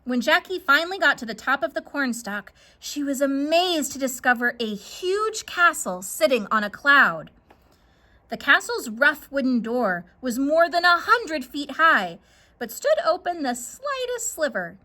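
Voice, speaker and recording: A woman narrating a scripted children's story in a dramatic, expressive way. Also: high-pitched, a little slow.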